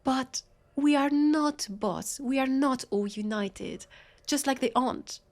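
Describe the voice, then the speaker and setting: Slow-talking, sardonic French vampire cop, played by a woman. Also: high voice. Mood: indignant. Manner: halting, sarcastic, exasperated. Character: weary, sardonic, indignant female vampire police officer. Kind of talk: scripted audio roleplay acting.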